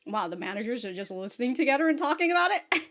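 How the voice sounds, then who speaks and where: A woman giving casual, off-the-cuff reaction commentary as a review host, rambling, slangy and animated, with some swearing. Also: on the high side, fast.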